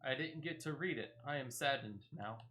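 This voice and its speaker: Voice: mid-range voice, moderate pace, pushed and bright, a little hiss or hum. A gamer giving casual gameplay commentary in a playful way, often slipping into sing-song babble while talking to themselves. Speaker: gaming streamer. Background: game music.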